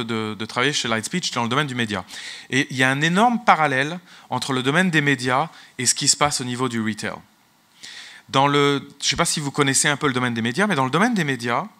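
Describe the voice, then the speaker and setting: A man's voice: mid-range voice, sharp tone. A man whose first language is English, speaking as a tech executive at a business conference, often restarting and repeating words. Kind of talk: conference talk.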